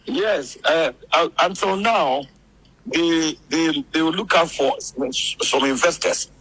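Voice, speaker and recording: A man's voice: fairly high pitch, slow pace, a bit bright, no room sound, low-quality recording. A man with a Ghanaian accent explaining things as a phone interview guest, repeating phrases and often adding "you know". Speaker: interview guest, entertainment media insider. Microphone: phone call. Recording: husky, rough radio phone-in audio.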